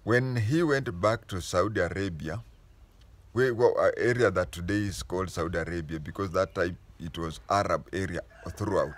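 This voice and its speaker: A man with a Kenyan accent talks through history like a patient storyteller. His voice sits fairly low. He pauses often, restarting phrases to set the record straight as he goes. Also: no room sound. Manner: unhurried storytelling, stopping to restate and correct himself. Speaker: history explainer. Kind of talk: casual spoken history lesson.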